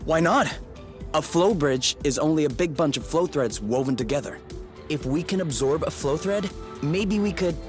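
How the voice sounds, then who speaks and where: A man's voice: fairly high pitch, lively intonation, moderate pace, music in the background, close to an everyday voice. Man acting easygoing, earnest young male partner. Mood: hopeful, tentative. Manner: casual, tentative, earnest. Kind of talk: video game cutscene acting.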